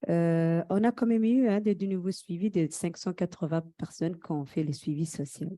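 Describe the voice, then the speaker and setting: Halting, mellow low-voiced Somali-speaking woman. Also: no room sound. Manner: halting, repeating words while searching for phrasing. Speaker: community worker and interpreter. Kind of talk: spoken conference presentation. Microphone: microphone.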